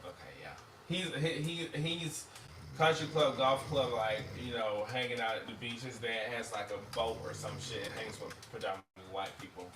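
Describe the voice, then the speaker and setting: A man's voice: mid-range voice, normal speed. A man with a Florida accent chatting casually in a group, often mimicking other people's voices when he tells a story. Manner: chatty, imitates voices when telling stories. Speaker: reality show contestant. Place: shared house indoors. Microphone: ceiling surveillance mic. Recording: echoey room, distant mic, background noise.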